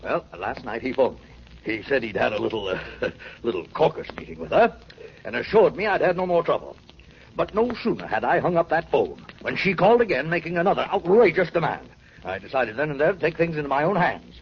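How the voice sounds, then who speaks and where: An actor in a 1940s radio drama playing a smug, glib small-time politician, fussy and ingratiating. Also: male voice, fairly high pitch, sing-song, brisk, creaky. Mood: smug, chatty. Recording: dull old radio recording, hiss.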